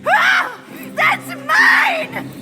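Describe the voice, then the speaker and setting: A woman playing a greedy, spiteful female demon in a video game, gloating with a creaky, shrieking, cackling voice. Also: very high-pitched, sing-song, exaggerated voice. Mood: enraged. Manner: slow, drawn-out, menacing gloating. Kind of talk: theatrical video game villain acting. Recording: loud music behind the voice. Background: loud game music.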